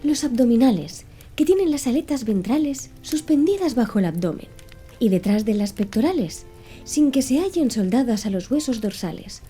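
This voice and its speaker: A woman's voice: a little high, big pitch swings, moderate pace, dark tone, soft background music. A woman voicing an earnest, pedantic man who lectures with didactic precision in an audiobook. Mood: didactic, patient. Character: Conseil, earnest, pedantic man reciting fish classifications. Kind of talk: audiobook character dialogue.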